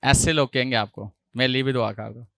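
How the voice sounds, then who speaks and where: A male pastor, preaching a sermon with a sore throat, often clearing it and rising loudly on hallelujah. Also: mid-range voice, steady tone, brisk, dry recording. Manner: preaching with loud rises on hallelujah and jokey asides.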